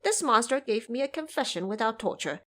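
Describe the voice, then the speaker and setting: A woman playing a brash, high-pitched monkey hero. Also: animated, brisk, sharp tone. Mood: boastful reporting. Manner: swaggering, emphatic. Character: boastful, brash male monkey disciple, protective and commanding.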